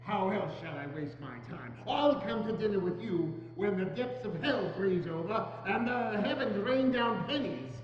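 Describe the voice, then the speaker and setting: A man's voice: high-pitched, expressive, normal speed, hardly any pauses, crowd noise. A man acting a grumpy, miserly old businessman in a theatrical dramatic reading, curt and biting. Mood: dismissive. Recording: dull, very echoey church hall, hum.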